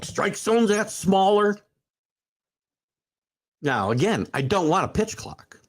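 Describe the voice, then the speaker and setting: A man's voice: high voice, slow pace. A man in his sixties hosting a sports talk stream, rambling with many fillers and restarts, then building into emphatic rants as he answers viewer comments. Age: 60s. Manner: animated, rising into emphatic rants. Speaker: sports talk show host. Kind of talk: livestream chat reading viewer comments.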